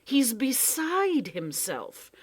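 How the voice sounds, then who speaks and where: A scheming male creature from a storybook, casual and mock-polite with a cheerful menace, played by a woman reading aloud. He talks slowly in a lilting, sing-song way, pitched a little above her own voice. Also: very animated, breathy edge, full character voice. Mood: smug.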